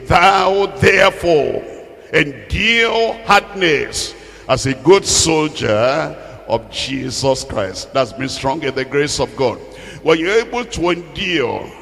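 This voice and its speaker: A man's voice: a little high, creaky, fairly bright tone. A man preaching a sermon as a Bible teacher, exhorting and repeating phrases for emphasis. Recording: long hall reverb.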